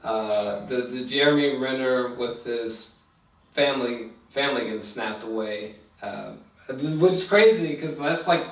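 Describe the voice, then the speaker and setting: A man chatting casually about a film, halting and hesitant, circling back and swearing casually. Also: mid-range voice. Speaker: film review podcast co-host. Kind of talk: casual chat, film review. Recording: roomy echo, distant microphone.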